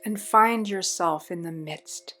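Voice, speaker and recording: Very slow-paced woman guiding a meditation. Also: lowish voice, pushed and bright, faint music behind. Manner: measured, pausing between short phrases. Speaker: meditation guide. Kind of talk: guided meditation, slow spoken phrases.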